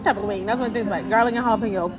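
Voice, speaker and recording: A woman's voice: mid-range voice, rapid-fire. A woman vlogging a casual taste test in a busy restaurant, chatting to viewers about her food as she eats. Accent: American. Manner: chatty, relaxed, talks to viewers. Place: busy sushi restaurant indoors. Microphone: phone camera on the table. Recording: phone audio in a noisy restaurant. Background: loud music and crowd chatter.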